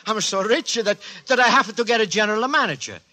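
An actor playing a naive, cheerful Italian immigrant antique dealer in broken English with an Italian accent, earnest and expressive, in 1940s radio comedy style. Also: male voice, high voice, a little fast. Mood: fond, chatty. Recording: 1940s radio recording, live studio audience.

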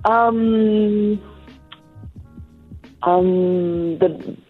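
A woman from France speaking English with a French accent, her native tongue audibly underneath. She answers slowly and thoughtfully, with frequent pauses and fillers. The sound is thin and narrow, like a phone line, with faint music behind. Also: mid-range voice, fairly even intonation. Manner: searching for words, many pauses and fillers. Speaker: interviewee, athlete. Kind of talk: casual interview answers.

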